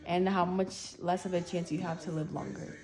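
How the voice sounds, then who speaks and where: A woman's voice: fairly low pitch, flat delivery, moderate pace, music in the background, rough recording. Young teenage girl, hesitant and stumbling. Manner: hesitant, stumbling over words. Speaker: guest reading an article aloud and answering questions. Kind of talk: halting read-aloud and shy interview answers.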